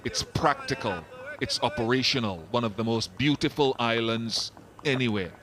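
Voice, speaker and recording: A man giving relaxed, chatty live cricket commentary, explaining the game's finer points. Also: medium pitch, normal speed. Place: commentary box at a cricket ground.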